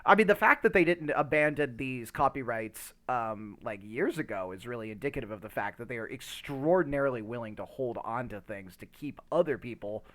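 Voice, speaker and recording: Animated man chatting on a podcast. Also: medium pitch, fairly quick, few pauses. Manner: animated, emphatic, swears casually. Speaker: podcast co-host. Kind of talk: casual chat, rambling opinion.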